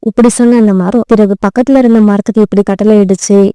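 A woman's voice: medium pitch, steady tone, fast talker, dark tone, clear, resonant tone, no echo. A woman narrating a children's story from a script.

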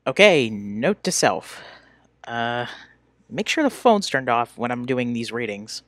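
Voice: mid-range voice, very animated, unhurried, faint music behind. An amateur voice actor talking casually to themself between takes, in a self-critical way.

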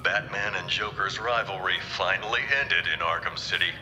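Low, solemn tour narrator, reverberant. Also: male voice, steady tone, moderate pace, sharp tone, a little hiss or hum. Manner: solemn, portentous. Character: grave male journalist narrating a museum tour. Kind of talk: dramatic scripted exhibit narration. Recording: reverberant exhibit speakers with music. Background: music.

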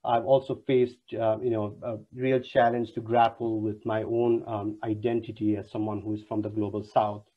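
A man's voice: lowish voice, flat delivery, moderate pace, few pauses. A man in his thirties from Nepal, a university lecturer introducing an online panel, speaking formally with many fillers and restarts. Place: home room on a video call. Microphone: wired earphone mic on a video call. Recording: dull lo-fi video call audio.

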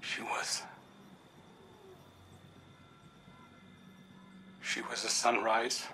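A man's voice: mid-range voice, monotone, unhurried, creaky, bright, forceful tone, slight background noise. An actor playing a guarded, grieving young man in a video game, curt and defensive. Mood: pained.

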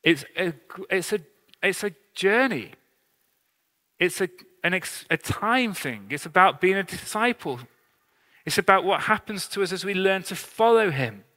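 A man preaches in a bright, forceful voice that sits a little high. His delivery is earnest but conversational, like an informal sermon. Long reverb from a big hall trails his words. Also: animated, measured pace. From England. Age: 30s to early 40s. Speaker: pastor, church leader.

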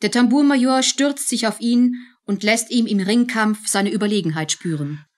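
A woman's voice: a little high, normal speed, darkish tone. A woman narrates a radio drama. She reads from a script, setting out each scene as the storyteller.

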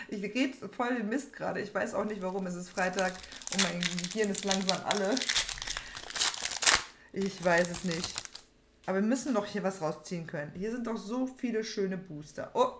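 Young woman chatting casually, roomy echo. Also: medium pitch. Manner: informal, rambling, repeats phrases. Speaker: trading card opening host. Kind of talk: casual chat while opening card packs. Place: small room at home, indoors. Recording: roomy echo, background noise, card crinkling.

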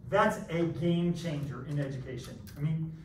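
A middle-aged American man lecturing as a college math teacher in an animated, rambling, self-deprecating and theatrical way. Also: a little high, normal speed. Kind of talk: classroom lecture with rambling asides. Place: classroom, masked, in front of students. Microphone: far camera at back of room. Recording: roomy echoey classroom, distant mic, background noise.

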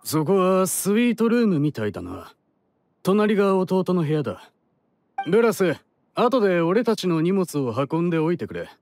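A man's voice: sits high, normal speed. An actor playing an easygoing, confident elder brother in an anime game, a young man with casual speech. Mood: affable, directing. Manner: casual, relaxed masculine speech.

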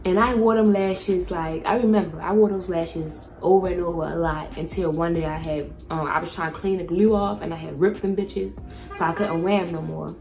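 A woman's voice: lowish voice, fairly even intonation, moderate pace, creaky, darkish tone, soft background music. A young woman vlogger giving a casual, chatty product review, speaking candidly with plenty of slang and swearing. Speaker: beauty vlogger.

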